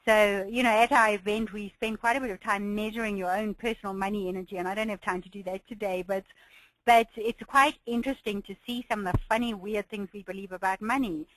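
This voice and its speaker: A woman runs a pricing webinar, speaking in a slightly hoarse voice from a cold. She sounds encouraging and upbeat and often laughs lightly between her points. The sound is dry and close to a computer mic. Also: mid-range voice, fairly even intonation, fairly quick, a bit bright, no echo, rough recording. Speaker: business coach hosting a webinar. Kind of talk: webinar presentation.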